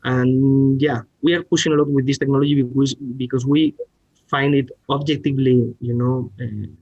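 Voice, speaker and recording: Halting Spanish-accented man, dull audio. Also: mid-range voice, fairly even intonation, darkish tone, no echo. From Spain. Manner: halting, searching for words with repeated starts. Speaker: R&D director, podcast guest. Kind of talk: technical presentation and discussion.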